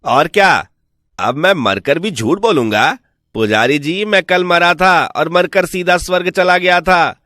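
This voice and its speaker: Sly village con man acted by a man, slightly high voice, dry close sound, persuasive, mock-polite, cartoon folk tale. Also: unhurried, sharp tone, dry recording. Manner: persuasive, boastful, mock-respectful. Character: sly smooth-talking male village swindler posing as returned from heaven. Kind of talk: animated Hindi folk tale voice acting.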